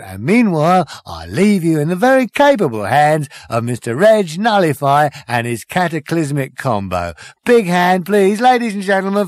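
A man's voice: a little high, no echo, clean studio sound, voice pushed up. A man voicing a brash cabaret compère who addresses his audience with theatrical patter. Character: showy middle-aged male cabaret compère.

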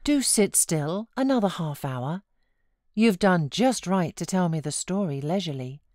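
A British woman voicing a leisured, politely insistent gentleman in an audiobook. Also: on the low side, animated, a little slow, no echo, studio quality. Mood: eager, insistent.

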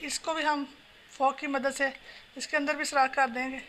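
A woman calmly explaining a home recipe step by step while she cooks. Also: sits high, normal speed. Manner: calm, instructional, talks while working. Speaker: home cooking channel host. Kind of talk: step-by-step cooking instructions. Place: home kitchen. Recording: noisy home phone recording.

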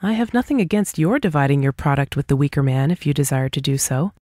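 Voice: mid-range voice, expressive, fast, mellow, no room sound, clean studio sound, close to an everyday voice. A reader voicing a patient, confident individualist who argues in rhetorical questions, in a scripted audiobook dialogue. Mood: firm rebuttal.

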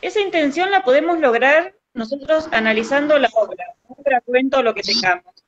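A woman's voice: sits high, animated, normal speed, slight background noise. A woman, teaching a workshop over a video call and checking understanding with little tag questions. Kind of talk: explanatory teaching. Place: indoor room. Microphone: video call, close to camera. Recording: dull lo-fi video-call audio.

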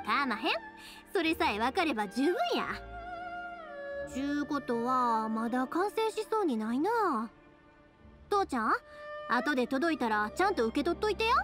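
Anime game daughter role: young, caring, Kansai accent, bright-spirited chatter, high and very varied pitch. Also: female voice, normal speed, pauses often, music in the background. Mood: warm. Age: young adult. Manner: expressive, friendly, chatty. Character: cheerful, caring young woman fireworks maker, devoted daughter.

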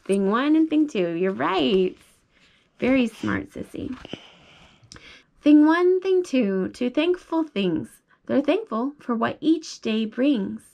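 Gentle mother reading a picture book. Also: high-pitched, very animated, vocal fry, dull audio. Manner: gentle, slow and sing-song, coaxing questions. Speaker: mother reading to her toddler. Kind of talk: bedtime picture book read-aloud. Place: child's bedroom at home. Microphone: phone held above the reader's lap.

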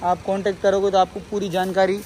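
A man giving an improvised, repetitive promotional pitch for his factory's products in running sales patter. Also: completely flat delivery, fast. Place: welding workshop at a factory. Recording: noisy workshop with hiss and machinery.

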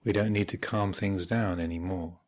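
Man, fairly low pitch, meditation teacher, guided instruction, measured phrasing, frequent pauses. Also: fairly even intonation. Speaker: Buddhist monk and meditation teacher leading a retreat. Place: meditation hall at a retreat center.

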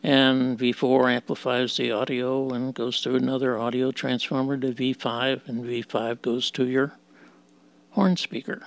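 A man giving an informal technical walkthrough, explaining in short, halting phrases as a demonstration presenter. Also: medium pitch, flat delivery, creaky. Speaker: presenter of a vintage radio demonstration.

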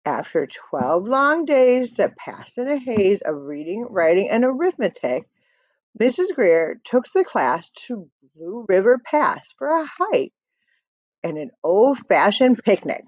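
A woman reads a picture book to children with rhythmic emphasis. She goes slowly, with very lively rises and falls in pitch. The audio is slightly dull, as from a computer microphone. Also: no echo. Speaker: read-aloud storyteller.

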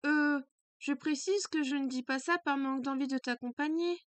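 A woman's voice: high-pitched, moderate pace, dry recording, natural voice. A woman voicing a prim, strict schoolgirl student with formal, precise diction.

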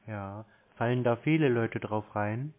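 A man chatting casually on the telephone as a customer, laconic and deadpan. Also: mid-range voice, unhurried. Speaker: prank caller posing as customer. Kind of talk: casual phone chat. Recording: muffled narrowband phone line.

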